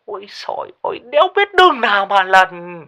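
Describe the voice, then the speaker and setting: A bitter, foul-mouthed gambler in a spoken story, played by a male narrator in a louder, harsher voice. He rants and scolds with a Northern Vietnamese accent, and his pitch swings hard from line to line. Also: very animated, brisk, creaky, full character voice. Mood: ranting. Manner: swearing, ranting and scolding.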